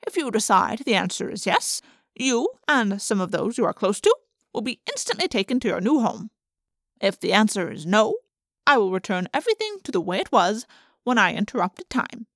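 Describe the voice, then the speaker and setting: A woman's voice: medium pitch, very animated, no room sound, clean studio sound. Woman voicing an aloof, imperious alien being. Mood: detached. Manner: formal, measured pronouncements.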